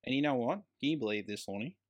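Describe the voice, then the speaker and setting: A man's voice: mid-range voice, expressive, fast, muffled recording. An Australian man co-hosting a podcast, discussing films casually and enthusiastically with emphatic, sweeping claims. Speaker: podcast co-host.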